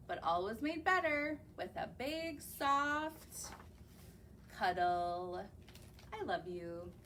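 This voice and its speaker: Children's librarian reading to kids: bright high voice, sing-song, unhurried, keeps quizzing the little listeners. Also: female voice, a little hiss or hum. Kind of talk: reading picture books aloud to young children. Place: public library.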